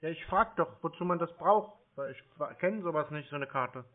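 A man's voice: fairly high pitch, normal speed. A man answering a sales call in a laconic, clueless-sounding manner. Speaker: call recipient baiting phone scammers. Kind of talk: phone conversation. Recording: tinny phone line.

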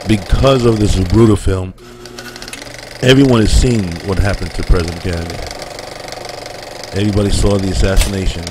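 Male narrator, grave, deliberate phrasing, dramatic scripted documentary, background noise. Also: low-pitched, steady tone.

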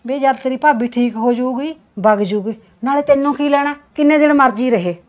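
A woman's voice: high-pitched, fairly quick, close to an everyday voice. A woman playing a scolding Punjabi-style mother. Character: scolding middle-aged mother of the house. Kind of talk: comic family skit acting.

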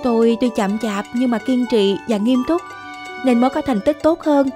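A modest young cartoon turtle, played by a woman, speaking earnestly and plainly. Soft background music runs under the voice. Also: fairly high pitch, moderate pace, close to an everyday voice. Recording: music behind the voice.

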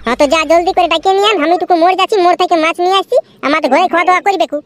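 A man voicing a bossy, practical village mother in a comic cartoon, pitched up, nagging in a Purulia Bengali accent. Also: steady tone, very fast, a bit bright. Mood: urging, nagging. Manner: instructing, nagging.

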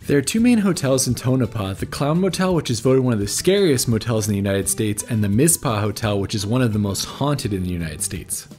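A man's voice: mid-range voice, lively intonation, brisk, few pauses, a little mellow, faint music behind. A man in his thirties narrating a road-trip travel video, giving viewers practical tips in a scripted voice-over. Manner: informative, recommending tips to viewers. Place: voice-over recorded apart from footage.

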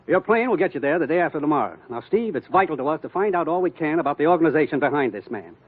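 Brisk, fast-talking radio commissioner. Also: male voice, fairly high pitch, animated, breathy edge, narrow, tinny audio. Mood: urgent, serious. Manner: brisk, authoritative. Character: urgent, businesslike male commissioner briefing his agent. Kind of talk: 1950s radio drama acting. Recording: old band-limited radio recording.

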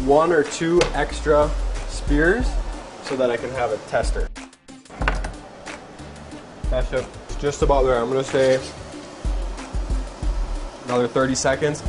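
A young man hosting a cooking show, talking casually step by step as he cooks in a home kitchen. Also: mid-range voice. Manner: relaxed step-by-step narration while cooking. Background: frying and sizzling from the pan.

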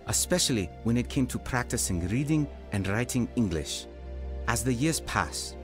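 An actor playing a humble African evangelist, telling his life story earnestly in a radio drama. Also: mid-range voice. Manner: earnest, reflective. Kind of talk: dramatised first-person narration and dialogue.

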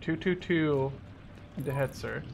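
Higher-voiced young man, casual gamer chat. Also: measured pace, gravelly. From Canada or Netherlands. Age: 20s. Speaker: gaming YouTuber and player. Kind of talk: casual gameplay commentary and banter. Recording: noisy, game sounds behind voice. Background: video game vehicle and ambient noise.

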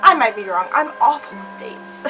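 High-voiced young woman vlogging, slightly distant mic. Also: expressive, moderate pace, over music. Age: 20s. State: slightly off voice from a cold. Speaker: vlogger, channel host. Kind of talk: casual vlog chat to viewers. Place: small cluttered room at home.

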